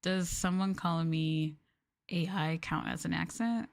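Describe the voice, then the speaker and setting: Canadian girl rambling on her stream, kinda low voice, giggly, says like a lot. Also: steady tone, unhurried, gravelly. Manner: rambling, giggly, self-deprecating, lots of "like" and "um". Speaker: VTuber streamer. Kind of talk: casual late-night chat stream.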